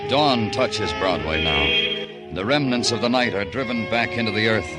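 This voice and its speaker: An actor playing a world-weary, brooding police detective in a 1950s radio noir, with terse, somber phrasing. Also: male voice, on the low side, moderate pace, close to an everyday voice. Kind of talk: 1950s radio noir drama, poetic narration. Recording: old radio drama under loud orchestral music.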